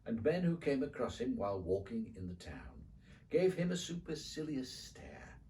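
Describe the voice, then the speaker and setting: A man reading a children's poem as a dramatic storyteller, with rhythmic, theatrical, expressive phrasing. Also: medium pitch, moderate pace, recorded from a distance. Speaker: poetry reader.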